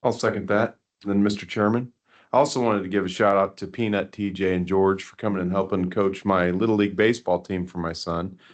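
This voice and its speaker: A man, a county commissioner, making relaxed, joking remarks at a public meeting. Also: low-pitched, a little fast.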